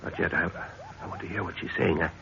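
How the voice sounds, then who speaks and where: A man's voice: low voice, fairly even intonation, fast, vocal fry, sharp tone, exaggerated voice. An actor playing an older professor and father, blustery, paternal and insistent, in a 1930s radio drama. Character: older professor father, dismissive, eagerly obsessed with mysteries. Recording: dull, noisy 1930s radio recording.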